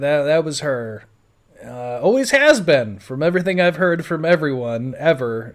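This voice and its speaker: An American man in his thirties from western New York, telling his life story in a casual, unscripted audio blog with a rambling, blunt, informal way of talking. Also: on the high side. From western New York State, USA. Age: 30s.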